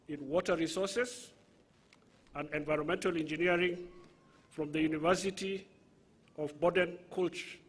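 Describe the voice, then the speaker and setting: A man with a Somali accent reading a formal citation at a graduation ceremony, measured and deliberate, with asides to the audience. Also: on the high side, slightly rough. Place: large graduation ceremony venue.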